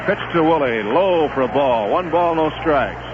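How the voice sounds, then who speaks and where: Middle-aged man, radio baseball play-by-play, fairly high voice, muffled 1970s broadcast, audible hiss. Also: moderate pace, crowd noise. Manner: steady relaxed play-by-play, rising excitement on big plays. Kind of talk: live baseball radio commentary.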